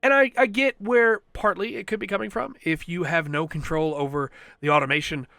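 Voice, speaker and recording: A man hosting a podcast, giving an enthusiastic, rambling review with a voice that is slightly going hoarse. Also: high voice, fairly even intonation, brisk, sharp tone, no room sound, studio quality. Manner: enthusiastic, rambling with fillers and restarts. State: slightly losing his voice. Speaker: podcast host reviewing an audio drama. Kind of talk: casual solo review.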